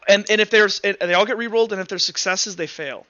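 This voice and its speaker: A man acting as game master, insistently explaining rules and improvising narration in halting bursts. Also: sits high, pushed and bright, dry recording, lo-fi sound. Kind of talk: improvised tabletop roleplay narration and rules talk.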